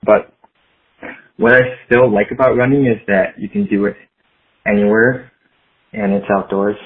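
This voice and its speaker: A man's voice: on the low side, fairly even intonation, moderate pace. A man leaving a casual voicemail as a caller, explaining himself over a narrow phone line.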